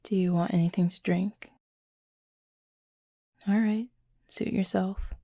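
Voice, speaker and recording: A woman voicing a confident, flirty werewolf pack leader in an intimate audio roleplay, with a husky, breathy, gravelly voice and a teasing, sardonic drawl. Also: mid-range voice, steady tone, slow pace, dark tone, no echo, studio quality.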